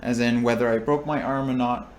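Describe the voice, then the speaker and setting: A man's voice: medium pitch, fairly even intonation, brisk, a little hiss or hum. A young man explaining a probability lesson, thinking aloud with restarts and checking in with his listeners. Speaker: recitation instructor. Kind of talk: explaining a lecture topic.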